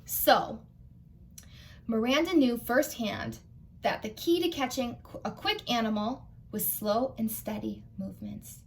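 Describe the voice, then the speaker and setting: Children's librarian, female, American. High-pitched, expressive storytime read-aloud, engaging young listeners directly. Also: measured pace, a little hiss or hum. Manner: animated, asks listeners questions.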